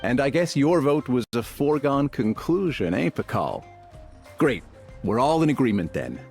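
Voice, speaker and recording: A man's voice: mid-range voice, very animated, normal speed, darkish tone, faint music behind. An actor playing a reasonable, persuasive male tribe chief in a video game. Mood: decisive.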